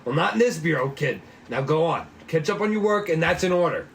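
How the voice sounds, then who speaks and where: A man's voice: on the high side, animated, fairly quick, creaky, a little hiss or hum. A man voicing a stern, exasperated police boss in a noir cop drama, brusque and weary. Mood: firm, scolding. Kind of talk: 1940s noir cop drama table read.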